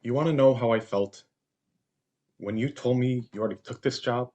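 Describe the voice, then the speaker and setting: A man's voice: mid-range voice, moderate pace, warm tone, dull audio, natural voice. A young man acting in a stage play as a controlling, insecure husband who belittles his wife. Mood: wounded, low. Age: young adult. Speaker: student actor.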